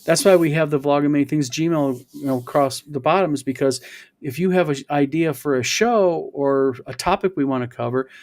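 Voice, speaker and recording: A man's voice: on the high side, fairly quick, darkish tone. A man in his fifties hosting a casual chat show, explaining his points at length. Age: 50s. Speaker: host.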